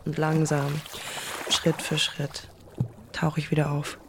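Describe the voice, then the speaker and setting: A woman's voice: sits low, monotone, takes it slowly, background noise. An actress playing a young queer woman podcaster, hurt, introspective and tender, in a naturalistic audio drama with intimate inner monologue. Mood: quietly determined.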